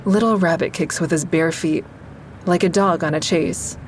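A woman's voice: on the low side, steady tone, moderate pace, a little hiss or hum, close to an everyday voice, poor audio. An actress playing a female comic, narrating her love life in an intimate, wry way. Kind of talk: first-person audio drama narration.

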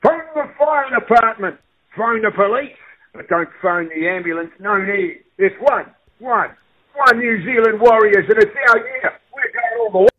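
A man leaving an excited fan voicemail, loud, theatrical and emphatic, like a chant. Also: high-pitched, normal speed. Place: phone voicemail. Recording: muffled voicemail played on radio.

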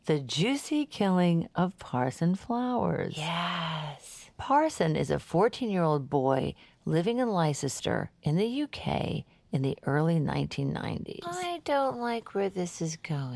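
A woman's voice: medium pitch, gravelly, darkish tone, dry recording. A woman playing a chatty, flippant true crime podcast host, speaking in scripted banter and storytelling over music. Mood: storytelling, wry.

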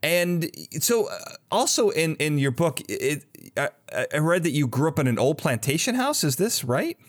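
A man's voice: a little high, very animated, moderate pace. A man hosting a casual interview, with a jokey, self-deprecating way of talking. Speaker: podcast host. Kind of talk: casual, joking interview chat.

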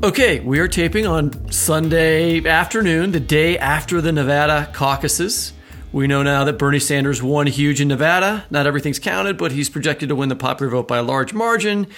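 A man's voice: fairly high pitch, fairly bright tone. An American man co-hosting a podcast, moving between scripted introductions and conversational talk. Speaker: podcast co-host.

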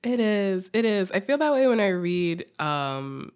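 A woman hosting a podcast in a casual, expressive, reactive chatty style. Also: mid-range voice, unhurried, smooth. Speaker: podcast host. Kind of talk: casual interview chat.

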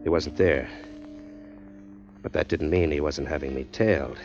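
A man's voice: a bass voice, normal speed, a little mellow. An actor playing a tough-talking ex-con drifter who narrates his own story in a hardboiled style, 1940s radio drama. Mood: narrating. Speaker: first-person narrator and drifter character. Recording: dull lo-fi old radio recording with music.

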